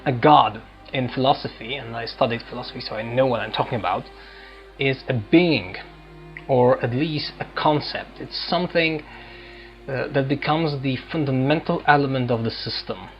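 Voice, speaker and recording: Male gamer explaining haltingly, slightly dull audio. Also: mid-range voice. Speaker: gaming video host. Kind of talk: unscripted role-playing game commentary. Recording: slightly dull audio, faint game music. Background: faint game music.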